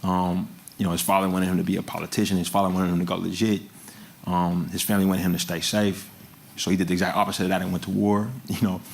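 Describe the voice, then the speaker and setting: A man in his thirties talking casually in an interview, halting with frequent restarts and fillers, often laughing. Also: low voice, level tone, gravelly, a little hiss or hum. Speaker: film director guest.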